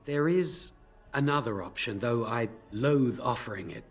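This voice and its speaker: An actor playing a guilt-ridden young mage in a video game, explaining things gravely and with reluctance. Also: male voice, medium pitch, unhurried, faint music behind. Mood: grave, reluctant. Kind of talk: dramatic video game acting.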